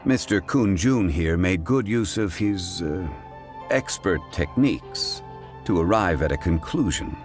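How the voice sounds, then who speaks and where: An actor playing a calm, dignified gentleman investigator who speaks in a formal, measured way. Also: low voice, faint music behind. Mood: explaining. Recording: game soundtrack faint behind.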